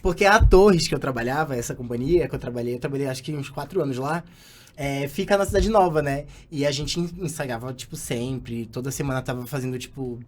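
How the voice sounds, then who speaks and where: A man from Belém with a Paraense accent, a guest comedian chatting casually on a podcast, full of fillers and bursting into high laughs. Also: a little fast. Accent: Paraense Brazilian Portuguese. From Belém, Pará, Brazil.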